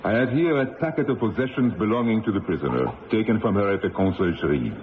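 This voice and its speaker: A man's voice: on the low side, expressive, fast talker, narrow, tinny audio, natural voice. Stern, swooping-voiced tribunal judge, played by a man. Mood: officious. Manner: formal, interrogating, declamatory. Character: stern, accusing male revolutionary tribunal judge. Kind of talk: 1970s radio drama acting. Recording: thin old radio recording, reverberant, faint noise.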